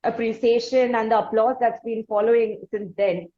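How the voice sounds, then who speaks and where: A woman with an Indian accent hosting a conversational interview, asking long, halting questions. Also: fairly high pitch, fairly even intonation, highs cut off. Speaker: interviewer and podcast host.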